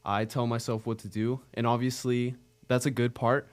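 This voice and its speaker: Late-teens male, American accent, very clear smooth tone, casual interview guest, earnest and slightly halting. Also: mid-range voice, little pitch movement. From Arizona, United States. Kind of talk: casual chat.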